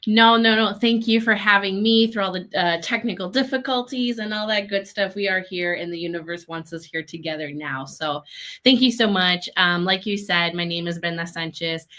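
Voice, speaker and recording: A woman's voice: medium pitch, steady tone, moderate pace, pushed and bright. A young woman from Chicago, raised in Minnesota, speaking as a guest on a video call interview. She talks in an earnest, upbeat way with frequent fillers. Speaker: guest, community leadership program leader. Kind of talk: casual interview conversation.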